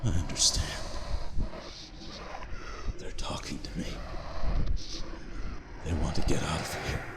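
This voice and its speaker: A deep-voiced frightened, worn-out explorer in an audio drama, slowly losing his grip on reality. He speaks slowly and haltingly, haunted. The recording is noisy, with a long cavernous reverb. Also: little pitch movement, full character voice. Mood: haunted, unhinged. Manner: halting, haunted, breaks into whispers. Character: weary, terrified male explorer slowly losing his mind.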